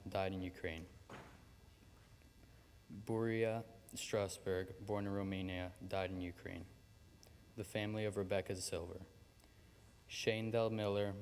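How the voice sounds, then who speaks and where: Low, flat-voiced young man reciting names. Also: a little hiss or hum. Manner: steady, even recitation. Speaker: student reader. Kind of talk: solemn reading of names. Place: large hall. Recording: long hall reverb with faint ambience.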